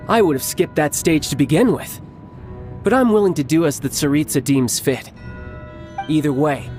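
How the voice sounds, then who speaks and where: A man's voice: on the high side, close to an everyday voice. Cocky young male game villain played by a male actor, battle-hungry, theatrical and taunting, over a prominent music score. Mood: gloating, calm. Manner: theatrical, taunting, playfully menacing. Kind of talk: English video game voice acting.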